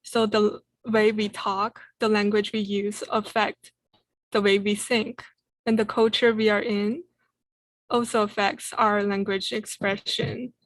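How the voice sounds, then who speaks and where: A young woman from South Korea, Korean her first language, speaking on a video call. Her intonation is quite flat and the sound is dry. She talks in a halting way, leaning on lots of fillers. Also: mid-range voice, no room sound, rough recording. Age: young adult. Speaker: panelist. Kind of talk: online panel discussion.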